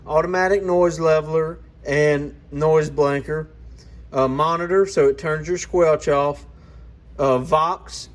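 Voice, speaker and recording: A man hosting a casual hands-on product walkaround, talking in a plainspoken, informal, explanatory way. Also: on the high side. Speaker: product reviewer and channel host.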